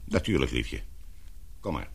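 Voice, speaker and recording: A middle-aged man playing a concerned father in authority in a radio drama, coaxing and questioning in a husky voice. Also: rumbling low, sing-song, unhurried, exaggerated voice. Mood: soothing.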